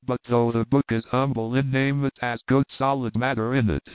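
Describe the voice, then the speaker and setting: A man's voice: medium pitch, little pitch movement, moderate pace, clean tone, dry recording, close to an everyday voice. A man voicing a humble translator who answers in a plain, matter-of-fact way. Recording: tinny phone-like sound, dry.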